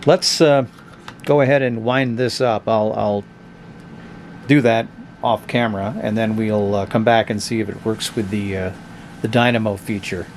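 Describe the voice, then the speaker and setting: A man's voice: fairly low pitch, fairly even intonation, moderate pace, a little mellow, a little hiss or hum. A man giving a casual, blunt review of a cheap radio, thinking aloud as he tests it. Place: indoors at a tabletop.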